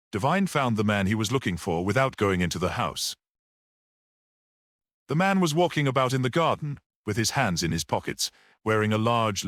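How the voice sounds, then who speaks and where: A man's voice: fairly low pitch, fairly bright tone, dry recording, studio quality. A man reading a classic detective story as scripted audiobook narration. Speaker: audiobook reader.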